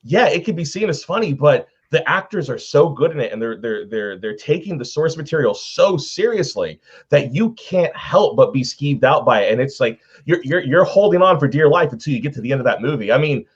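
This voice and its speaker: A man's voice: on the high side, animated, a little fast, hardly any pauses, breathy edge, highs cut off. A man talking casually and emphatically about films as a panelist, gushing like a devoted fan. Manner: emphatic, effusive fan talk.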